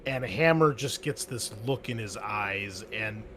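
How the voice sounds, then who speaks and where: A man's voice: on the low side, sharp tone. Casual male game master, faint hiss. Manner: casual, halting narration with fillers. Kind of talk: narrating a tabletop game.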